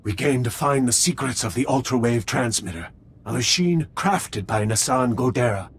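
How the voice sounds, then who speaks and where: A proud alien zealot for a video game, with a husky voice. He declaims solemnly and formally, like one sworn to a cause, defiant and utterly loyal. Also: mid-range voice, expressive, moderate pace. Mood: solemn, resigned.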